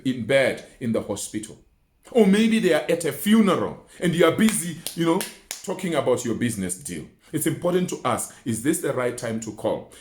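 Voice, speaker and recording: A man coaches listeners through practical tips. His voice sits a little high and moves up and down a great deal as he stresses points and repeats them for emphasis. The sound is roomy, with clear echo. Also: darkish tone. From Botswana. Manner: emphatic, didactic, uses rhetorical questions and repetition. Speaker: research mentor and communication coach. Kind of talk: instructional talk with numbered tips. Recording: roomy, echoing indoor sound.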